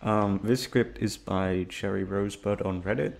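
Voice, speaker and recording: A man's voice: fairly low pitch, moderate pace, vocal fry, a little mellow. A young man chatting informally to his listeners, meandering and swearing freely. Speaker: audio roleplay voice actor. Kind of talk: casual rambling chat to listeners.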